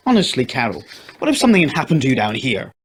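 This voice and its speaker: A man's voice: wildly swooping pitch, fast, very noisy. A man voicing a frantic, terrified mother who shrieks and sobs as she scolds and screams for her baby. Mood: angry scolding. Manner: shrill, screaming, sobbing.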